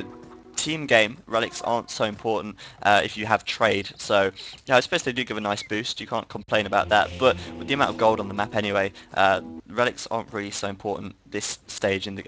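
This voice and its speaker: A man's voice: fairly low pitch, a bit bright. A man giving chatty live play-by-play commentary on a strategy game stream, often correcting himself. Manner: chatty, self-correcting, laughs at own slips.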